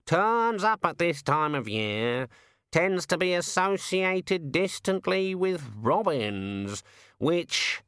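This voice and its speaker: An aggrieved storybook raven, pitched up from a natural speaking voice and very close and dry. It grumbles in rough colloquial phrases, full of its own importance. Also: male voice, sing-song, slow, fairly bright tone, no echo, exaggerated voice. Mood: dismissive. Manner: rhetorical, colloquial, complaining.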